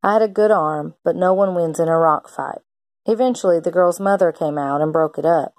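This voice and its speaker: Woman, children's read-aloud, teacher, lowish pitch, expressive delivery, dry close sound. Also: brisk, dry recording. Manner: expressive, acts out characters' lines.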